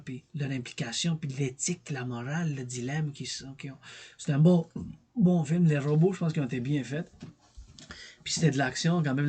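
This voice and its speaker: A man's voice: mid-range voice, a little mellow, slightly rough. A middle-aged man with a Québécois French accent, talking through a rambling, digressive film review with many fillers and repeated words. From Quebec. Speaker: vlogger reviewing films.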